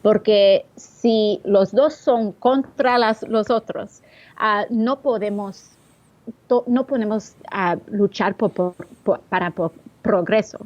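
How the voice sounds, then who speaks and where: A woman's voice: mid-range voice, takes it slowly, darkish tone, lo-fi sound. Young Bronx woman searching for Spanish words. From the Bronx, New York. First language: English. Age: young adult. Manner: hesitant, searching for words, frequent restarts. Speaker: interview guest. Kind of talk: interview answers.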